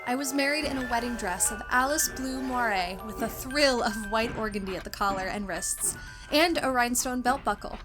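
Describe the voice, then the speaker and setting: A woman's voice: a little high, moderate pace, over music, natural voice. A woman playing a naive, romantic new bride in old-fashioned radio melodrama, genteel and given to dramatic hesitations. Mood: dreamy, romantic.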